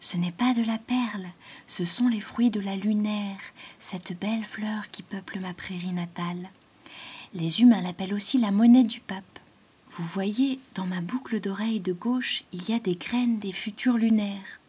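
A sad, exiled python, male and soft-spoken, speaks in a slow, hushed, breathy whisper, as a woman reads him aloud. His voice sits low and mellow, with a husky edge. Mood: gentle.

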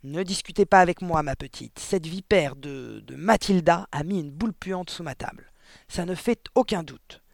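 Man playing a bellowing, high-pitched tyrant headmistress. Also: moderate pace, gravelly, no echo, studio quality. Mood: snappish, accusing. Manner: barking, scornful, emphatic. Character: huge, bullying, bellowing middle-aged headmistress, spiteful tyrant.

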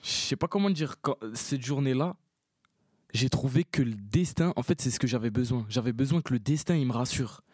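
Young man, average pitch, street-casual slangy storyteller, vivid and informal, dry recording. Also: fairly quick, a little mellow, slightly rough, no echo. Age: young adult. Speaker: participant in a theatre occupation. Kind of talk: slangy spoken anecdote.

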